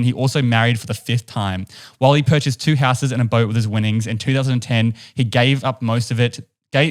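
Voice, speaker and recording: A young man with a New Zealand accent spins a story for his podcast co-host. He talks close to a boom mic in a room at home, animated and breaking into small laughs. The tone is casual and chatty. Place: home room with stone wall, indoors. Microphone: boom studio mic close up.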